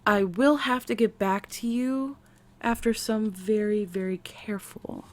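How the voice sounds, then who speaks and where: A woman with an American accent voicing an eccentric, excitable woman scientist playing therapist in an ASMR roleplay, with quirky, halting delivery. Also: medium pitch, a little hiss or hum, lightly acted. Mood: scatterbrained, amused. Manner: quirky, halting, drawn-out delivery with sudden swoops. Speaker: roleplay voice performer. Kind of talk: ASMR character roleplay, speaking to the listener.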